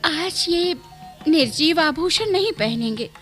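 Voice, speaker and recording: A woman's voice: very high voice, expressive, slow pace, fairly bright tone, faint music behind, exaggerated voice. A woman playing a dreamy, wilful young courtesan in love, speaking poetic, formal lines in a radio play. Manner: poetic, formal, lingering delivery. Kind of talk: theatrical Hindi radio drama acting.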